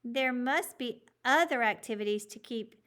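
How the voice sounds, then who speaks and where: A calm male schoolteacher from a children's storybook, as read by a woman. He addresses his class reasonably and patiently, explaining each point in a plain, everyday voice. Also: fairly high pitch, normal speed, pitched above the performer's own voice, lightly acted. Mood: reasonable. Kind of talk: children's storybook character reading.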